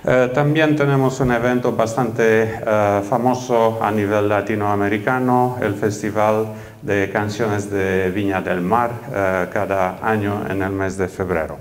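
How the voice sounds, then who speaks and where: A man's voice: fairly low pitch, slight background noise. A middle-aged man from Romania with a Romanian accent, giving a conference keynote talk with frequent hesitant fillers. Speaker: keynote speaker. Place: conference hall.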